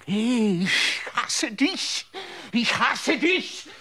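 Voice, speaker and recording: An actor playing a grieving, frightened young man who whispers and then breaks into anguished shouts in a radio drama. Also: very high-pitched, big pitch swings, drawn-out words, exaggerated voice. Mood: bitter.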